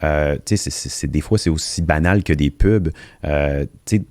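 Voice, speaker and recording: A man's voice: rumbling low, moderate pace, darkish tone, no room sound. A man, a guest on a podcast, giving earnest, explanatory answers and often stumbling over repeated words. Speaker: mortgage industry guest. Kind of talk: interview answers.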